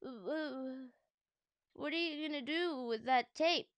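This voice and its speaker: A woman's voice: high voice, takes it slowly, dry recording, pitched above the performer's own voice. Woman doing a frantic stammering schoolboy. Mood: nervous. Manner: stammering, loud and panicked. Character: panicky schoolboy caught out, high and frantic. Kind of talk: storybook read-aloud.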